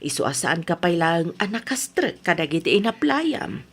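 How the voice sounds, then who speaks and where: A woman's voice: lowish voice, big pitch swings, moderate pace. An actress with an Ilocano accent playing a concerned, insistent mother of a grown son in a radio drama.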